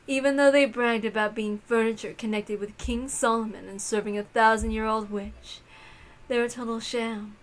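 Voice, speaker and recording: A woman's voice: fairly high pitch, close to an everyday voice. A woman voicing a cruel, mocking aristocratic witch lady with theatrical, taunting delivery in a visual novel read-aloud. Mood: scornful.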